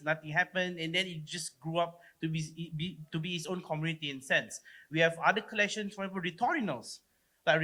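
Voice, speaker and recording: Bright-voiced Singaporean man. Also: high-pitched. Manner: loose, casual explaining with frequent tag questions. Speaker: panelist, platform co-founder. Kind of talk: conference panel discussion.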